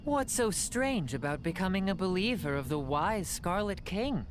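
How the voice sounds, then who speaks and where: A man's voice: high-pitched, sing-song, moderate pace, slight background noise. A man playing a scornful, accusing young male convert in an anime video game, with a pointed, needling delivery.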